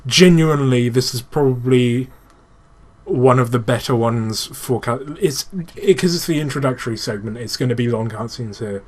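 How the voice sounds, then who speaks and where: A man's voice: mid-range voice, normal speed. A man giving casual gaming commentary as a host, explaining things like an enthusiastic fan, with exasperated swearing. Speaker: gaming channel host and player.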